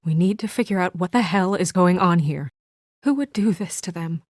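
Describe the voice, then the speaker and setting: A woman's voice: fairly low pitch, normal speed, darkish tone, dry recording, natural voice. A woman acting as a composed, earnest young investigator in a noir fantasy video game, serious and restrained with a dry edge. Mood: earnest, firm. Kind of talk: video game voice acting, naturalistic dramatic dialogue.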